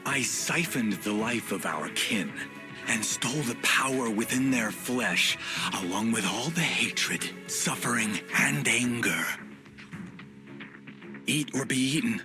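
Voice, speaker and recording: Theatrical, taunting tiger villain, loud music. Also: male voice, medium pitch, expressive, slow. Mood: bitter, menacing. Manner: grandiose, taunting, savouring his words. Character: theatrical male tiger villain, smug, cruel and playful. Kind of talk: video game voice acting.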